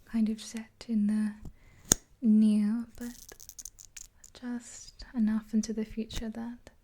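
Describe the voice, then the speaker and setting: A woman in her twenties whispering gently and unhurriedly in a casual, rambling ASMR roleplay, close to the mic. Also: fairly high pitch, flat delivery, slow, slight background noise. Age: 20s. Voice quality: breathy whisper. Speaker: ASMR roleplay performer as makeup artist. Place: small indoor room, dark backdrop.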